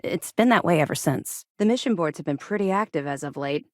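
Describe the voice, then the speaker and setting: A dry, low-pitched military spacefarer. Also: female voice, animated, a little fast, dry recording. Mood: reflective. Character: no-nonsense female military officer in space, guarded, dryly sardonic. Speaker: video game voice actor. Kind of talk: scripted game dialogue, naturalistic acting.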